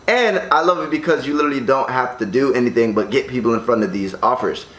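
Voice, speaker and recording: An American man speaking straight to his audience in an energetic, salesy way, often tagging his sentences with "right?", recorded in his condo. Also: medium pitch, quick pace, echoey room, rough recording. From United States. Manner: energetic, upbeat, salesy, with frequent "right?" tags. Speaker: online business vlogger. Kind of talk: casual direct-to-camera vlog. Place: condo room.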